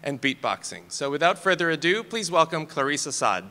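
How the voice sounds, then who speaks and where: A man's voice: on the high side, big pitch swings, brisk, pushed and bright. A man formally introducing the next performer as announcer. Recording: hall reverb.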